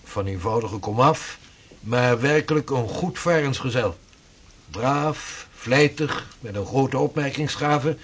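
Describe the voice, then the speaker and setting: A husky old-time Dutch navigator. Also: male voice, mid-range voice. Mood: earnest. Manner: measured, formal, old-fashioned speech. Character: earnest 16th-century Dutch navigator and mapmaker, driven. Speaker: seafaring cartographer. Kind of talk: radio drama acting.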